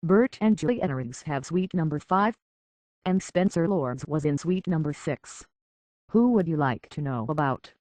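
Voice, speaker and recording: A man's voice: on the high side, lively intonation, unhurried, darkish tone, dry recording. A prim British robot butler from a video game, speaks in a dry, close recording. He is formal and deferential, an anxious hotel concierge who minds every courtesy. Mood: courteous, formal. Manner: formal, deferential, fussily polite. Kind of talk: scripted video game character acting.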